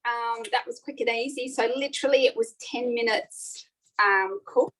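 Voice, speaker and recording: A woman's voice: fairly high pitch, moderate pace, fairly bright tone, poor audio. A middle-aged woman, chatting her way through a live online cooking demo with frequent ums. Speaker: cooking class host.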